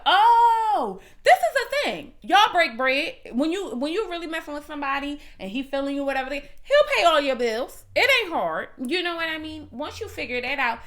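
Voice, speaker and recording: A woman co-hosting a reality show review in casual chat, animated and playful, quick to tease. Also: sits high.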